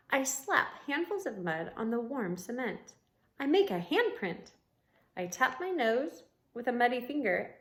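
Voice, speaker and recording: A woman reading a storybook aloud like a teacher, expressive and adding comments for young listeners. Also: sits high. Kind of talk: read-aloud storybook narration with asides. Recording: roomy, echoing room sound.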